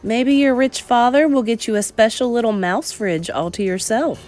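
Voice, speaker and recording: A woman's voice: fairly high pitch, moderate pace, a little mellow, soft background music, lightly acted. A woman voicing an earnest, sensible young boy turned mouse, matter-of-fact and reassuring, in a storybook reading. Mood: coaxing.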